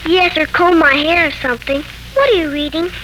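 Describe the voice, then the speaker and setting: A woman's voice: high voice, fairly even intonation, a little fast, bright, forceful tone, low-quality recording. A woman playing an inquisitive, artless little girl in a 1940s radio drama, with childlike delivery. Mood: curious. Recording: hissy 1940s radio recording.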